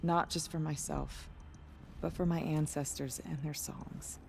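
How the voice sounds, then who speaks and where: A proud game musician with a gravelly edge to the voice. The delivery is earnest and lyrical, over faint ambient noise. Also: female voice, deep voice, fairly even intonation, measured pace, darkish tone. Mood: proud, grateful. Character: proud, grateful village musician devoted to ancestral songs. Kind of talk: video game cutscene acting.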